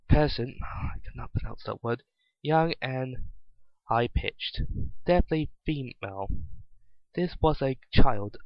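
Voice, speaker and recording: A man giving an amateur dramatic story reading, halting and stumbling over words as he narrates. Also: mid-range voice, no echo, highs cut off. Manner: halting, stumbles and openly remarks on slips. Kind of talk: dramatic reading of fan fiction.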